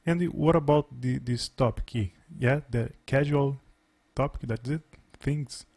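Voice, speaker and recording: A man's voice: medium pitch, measured pace, creaky, darkish tone. Brazilian man, mid voice, friendly learner, stumbles and restarts. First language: Portuguese. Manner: friendly, laughs often, repeats words. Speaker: English learner on a language exchange call. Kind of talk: casual conversation practice.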